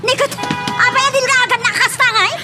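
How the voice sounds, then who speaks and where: A woman playing an evasive, excitable middle-aged mother in an Ilocano audio drama, with an Ilocano accent. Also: very high voice, fast talker, music in the background. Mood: defensive. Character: evasive, excitable mother caught hiding her plans.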